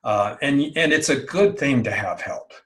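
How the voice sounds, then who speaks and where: Man, middle-aged, casual interview guest, halting delivery, repeated words, medium pitch. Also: animated, a bit bright. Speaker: interview guest, online business owner.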